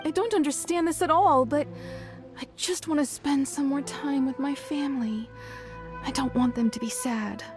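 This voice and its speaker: An innocent, puzzled child played by a woman in a video game. The voice is high and plaintive, with clear music playing behind it. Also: moderate pace, lightly acted. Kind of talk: video game dialogue acting.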